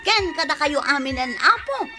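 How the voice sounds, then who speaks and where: A woman with an Ilocano accent announcing a radio drama and its credits in a theatrical, scripted, drawn-out style. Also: sits high, lively intonation. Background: music.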